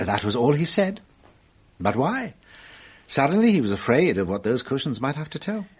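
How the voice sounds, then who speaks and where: An actor playing a shrewd, self-assured French detective in a radio drama, musing deliberately with wry amusement. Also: male voice, medium pitch, big pitch swings, breathy edge. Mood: musing, animated.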